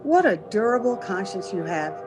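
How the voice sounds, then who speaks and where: A woman's voice: mid-range voice, big pitch swings, normal speed, over music, lightly acted. A woman playing a cold, scornful, social-climbing wife with a hard sneer, in 1930s radio melodrama style. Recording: noisy ambience under the voice.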